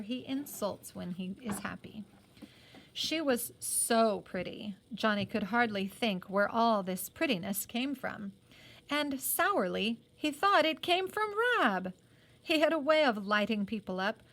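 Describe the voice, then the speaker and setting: A woman's voice: medium pitch, fairly bright tone. A woman reading a story aloud in a careful, teacherly way, with occasional explanatory asides. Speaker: reader of a children's novel aloud.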